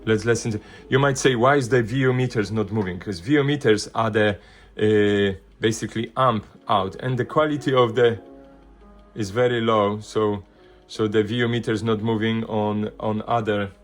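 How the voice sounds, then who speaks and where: A man presenting a casual hands-on product demonstration, thinking aloud in loose, halting phrases. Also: fairly low pitch, steady tone, soft background music. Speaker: vintage hi-fi video presenter.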